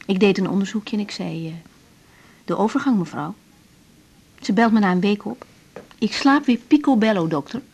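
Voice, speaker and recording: An actress playing an earnest, troubled young female doctor who speaks haltingly in a husky voice, in a naturalistic radio drama. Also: mid-range voice, darkish tone. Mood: frank. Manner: halting, searching for words.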